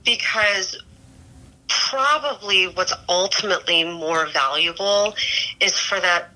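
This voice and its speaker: A middle-aged woman with a very bright, sharp-edged voice that sits a little low for her. She explains things frankly and thoughtfully, often correcting herself mid-sentence as she works out an idea. She is calling in remotely. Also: slow, poor audio. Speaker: voice teacher and guest. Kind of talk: explanatory chat. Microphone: remote call-in mic.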